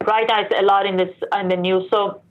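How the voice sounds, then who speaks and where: A woman's voice: medium pitch, flat delivery, brisk. A woman from Iran who later moved to Canada, answering interview questions casually and conversationally, with explanations, asides and self-corrections. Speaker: interview guest, sports industry professional. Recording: dull, lo-fi band-limited sound.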